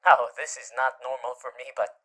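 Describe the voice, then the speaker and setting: An actor playing a gentle, doting demon with showman's charm and courtly manners in a soft, soothing ASMR roleplay. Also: medium pitch, steady tone, moderate pace. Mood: tender, soothing. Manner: soft, soothing, hesitant, often breaking into whispers. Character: gentle, doting male demon with theatrical showman's charm, comforting a loved one.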